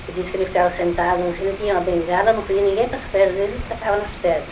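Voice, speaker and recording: An older woman with a Brazilian accent, telling family stories in a lively way that is full of exclamations and repetition. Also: mid-range voice, rapid-fire, background noise. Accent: Brazilian Portuguese. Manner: lively storytelling, exclaims and repeats herself. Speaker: family elder recalling relatives. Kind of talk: casual family conversation.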